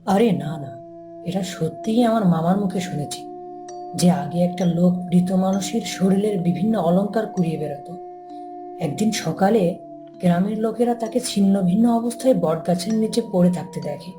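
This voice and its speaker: Earnest village storyteller for horror cartoon female actor; eerie, a little low, over prominent music. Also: moderate pace, lightly acted. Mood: ominous. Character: earnest villager recounting an eerie local tale.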